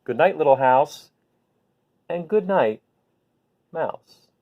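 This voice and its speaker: A man hosting a children's bedtime read-aloud, talking gently and simply to viewers in an unhurried way. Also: on the high side, expressive. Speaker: host reading picture books to children. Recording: phone-like narrow-band sound.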